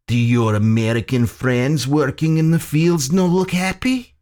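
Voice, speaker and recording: Man acting an oily, gloating general, slow and menacing. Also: on the high side, darkish tone, pitched above the performer's own voice, lightly acted. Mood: mocking. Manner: sultry, theatrical, deliberate.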